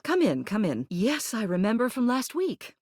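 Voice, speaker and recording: A woman's voice: fairly high pitch, huge pitch swings, moderate pace, darkish tone, husky, no echo, studio quality, heavily acted. A woman voicing a gracious, commanding older lady mayor in a video game, with a genteel, theatrical manner and fond, polished phrasing. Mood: gracious, brisk. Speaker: mayor and homeowners' association president. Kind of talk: scripted video game character dialogue.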